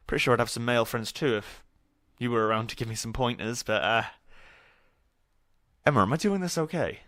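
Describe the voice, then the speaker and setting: A man plays an awkward young student carrying grief in an audio drama. He is hesitant and rambling, joking nervously, in a mid-pitched voice recorded very dry. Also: brisk, fairly bright tone, no room sound, natural voice. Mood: nervous, guilty. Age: young adult. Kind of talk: naturalistic audio drama acting.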